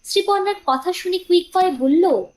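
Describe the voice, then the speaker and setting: A woman narrating a scripted story in a measured, expressive storytelling manner. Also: extremely high pitch.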